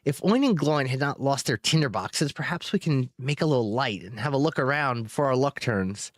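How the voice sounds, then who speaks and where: A man voicing a small, nervous male hobbit with a shrill, squeaky voice in a storybook reading. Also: lively intonation, brisk, no room sound, studio quality, natural voice. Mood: puzzled. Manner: anxious, whispering then shrill outbursts.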